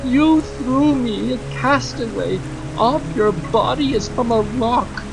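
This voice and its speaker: A man voicing a small, aggrieved male mouse with a squeaky voice, declaiming in archaic, dramatic lines for a storybook audiobook. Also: slow pace, gravelly, heavily acted, rough recording. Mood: dying, vengeful. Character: small male mouse, frightened then vengeful, drowning. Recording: music under the voice.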